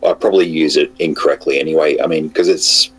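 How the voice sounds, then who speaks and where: A man with a cold and a hoarse voice, chatting casually as a guest on an online call, hesitant with many fillers and restarts. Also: a bass voice, fairly even intonation, fast talker, crowd noise.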